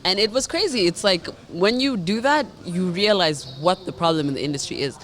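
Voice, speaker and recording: A young Kenyan woman chatting as a podcast guest, lively and quick to laugh. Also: fairly low pitch, slight background noise. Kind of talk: casual interview chat.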